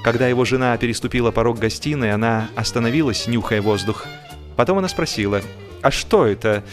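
A man narrating a humorous story expressively, with theatrical, drawn-out emphasis on words. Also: mid-range voice, faint music behind. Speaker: audiobook narrator.

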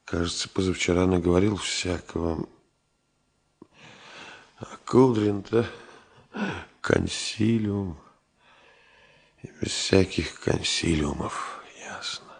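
A brooding, reflective man in a radio play, thinking aloud. His voice is very low and husky, with slow, clipped phrases that question himself. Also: full character voice. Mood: brooding, puzzled. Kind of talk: introspective Soviet radio drama monologue.

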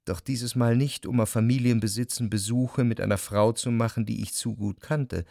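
An actor playing a sensitive, refined, melancholic narrator in a literary radio drama, speaking in a measured, lyrical way. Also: male voice, mid-range voice, steady tone, vocal fry, mellow, no room sound, clean studio sound, lightly acted. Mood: yearning, reflective. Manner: measured, reflective, lyrical delivery.

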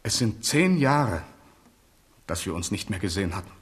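A man's voice: on the low side, very animated, moderate pace. An actor in a German radio play playing a capricious, wounded French king, swinging from theatrical outbursts to plaintive murmurs. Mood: plaintive, weary.

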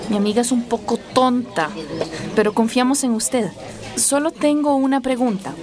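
A woman's voice: fairly high pitch, moderate pace, music in the background, lightly acted. A woman playing a gossipy, eager young factory worker in a radio soap opera. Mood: deferential. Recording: chattering crowd behind.

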